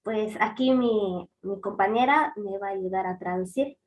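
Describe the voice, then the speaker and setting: A young woman whose first language is Tzeltal, speaking hesitantly as a youth representative at a conference session, with many pauses and fillers. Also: mid-range voice. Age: young adult.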